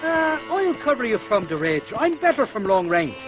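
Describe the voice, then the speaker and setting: An actor playing a weaselly Irish rogue in a western game, wheedling and blustering in an Irish accent. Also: male voice, very high voice, very animated, moderate pace, over music. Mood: ingratiating. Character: weaselly drunken Irish male rogue and liar. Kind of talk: animated western game acting.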